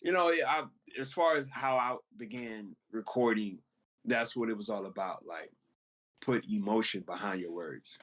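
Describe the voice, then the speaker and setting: A man who raps, answering interview questions in a relaxed, conversational way about recording music. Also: fairly low pitch, moderate pace. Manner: relaxed, explains with many "you know what I'm saying". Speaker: rapper guest. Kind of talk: casual interview talk.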